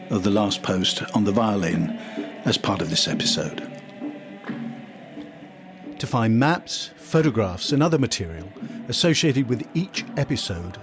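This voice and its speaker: An older man with a slightly husky voice, reading scripted documentary narration as a podcast host. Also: medium pitch.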